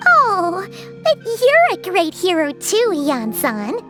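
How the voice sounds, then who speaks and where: Tiny cheeky game fairy: extremely high, squeaky, lively and chatty, over background music. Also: female voice, takes it slowly, full character voice. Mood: curious, friendly. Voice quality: squeaky, childlike. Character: small childlike floating fairy companion, cheeky and chatty. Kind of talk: animated video game voice acting.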